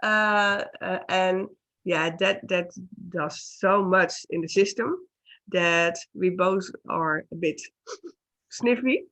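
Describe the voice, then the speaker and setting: Slow, hesitant woman. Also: on the low side, a bit bright. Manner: hesitant, searching for words, laughs often. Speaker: co-host, healer. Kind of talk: casual chat. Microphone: video call.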